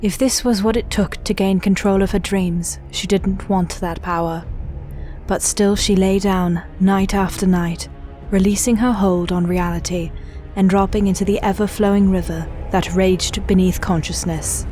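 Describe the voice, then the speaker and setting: A woman narrates an audio drama in a dramatic scripted style. Her voice is mellow and medium in pitch, with faint music behind it. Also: fairly even intonation, normal speed.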